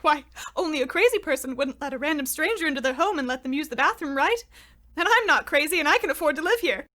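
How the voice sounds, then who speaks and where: A woman's voice: high voice, lively intonation, fast talker, pushed and bright. A woman playing a paranoid, scatterbrained shut-in who frets and talks to herself in an old-time radio comedy. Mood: flustered, defensive. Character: paranoid, unhinged shut-in woman talking to her potato.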